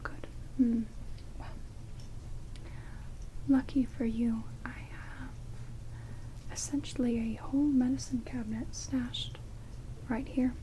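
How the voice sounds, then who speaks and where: A woman's voice: fairly high pitch, slow, pauses often, rough recording. A woman playing a sardonic, reluctantly helpful vampire in a whispered ASMR roleplay, dry and rude, close on binaural mics. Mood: grudgingly helpful. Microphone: close binaural microphones. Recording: binaural whisper with steady rain noise. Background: heavy rain.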